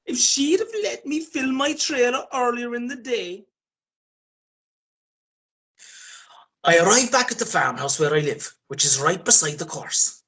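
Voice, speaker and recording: A man playing a scheming, excitable Irish countryman in a radio play, talking in a colloquial, cajoling way. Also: high-pitched, creaky, heavily acted. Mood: excited, confiding. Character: scheming, excitable Irish countryman sneaking about a horse farm. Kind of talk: radio play acting and first-person storytelling.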